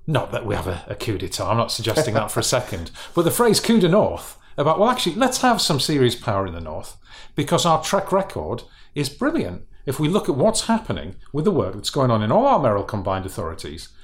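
Man, Northeastern English accent, regional mayor, persuasive, lively pitch. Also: fairly quick. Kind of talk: panel discussion answer.

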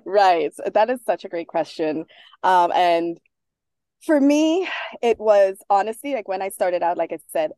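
A woman from Trinidad, a guest author speaking in a relaxed interview, thoughtful and sprinkled with fillers and restarts. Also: mid-range voice, measured pace, no echo. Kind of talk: casual interview talk.

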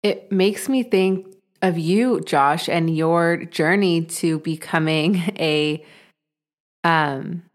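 A woman interviewer asking thoughtful, measured questions. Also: fairly low pitch.

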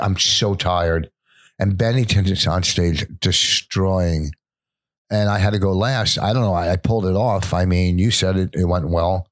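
A man's voice: low voice, no room sound. An older man who is a stand-up comedian, telling stories in casual podcast chat, rambling with many restarts.

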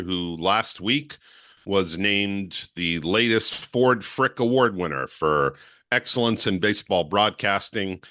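A man co-hosting a podcast, speaking in a measured, articulate way. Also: sits low, bright, forceful tone, no echo, studio quality. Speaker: podcast co-host.

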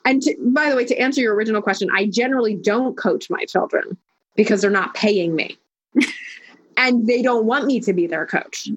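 A woman's voice: medium pitch, fairly quick. Emphatic woman coach, lo-fi sound. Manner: emphatic, declarative, speeds up when listing. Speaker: life coach, guest. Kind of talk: conversational interview.

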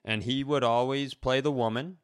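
A middle-aged man in casual comedic chat, with a mid-low voice recorded close and dry. He tells stories loosely, slips into quoted dialogue and swears easily. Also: normal speed, smooth, no room sound. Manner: animated retelling with acted quotes, casual swearing. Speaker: comedy podcast co-host.

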